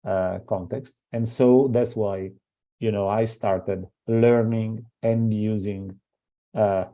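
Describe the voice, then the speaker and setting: A man's voice: fairly low pitch, warm tone. A middle-aged man with an Italian accent giving an academic seminar talk, hesitant, with many fillers and restarts. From Italy. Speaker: invited seminar speaker.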